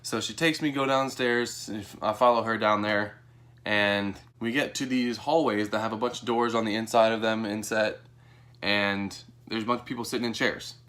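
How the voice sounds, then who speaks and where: A young American man telling a personal story to camera in a casual, animated way, acting out what people said. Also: normal speed. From Texas, United States. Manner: animated, acts out quoted dialogue in a higher voice. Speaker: vlogger.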